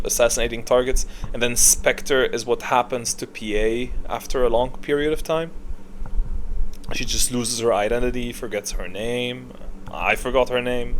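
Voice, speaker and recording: A man doing casual, unscripted tier-list commentary, opinionated and halting as he thinks aloud. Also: mid-range voice, a little hiss or hum. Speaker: video creator, gaming commentator.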